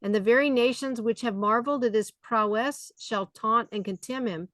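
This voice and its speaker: A woman's voice: fairly high pitch, normal speed, a bit bright, no room sound. A woman leading a study group, reading scripture aloud and explaining it conversationally. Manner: conversational, with fillers between readings.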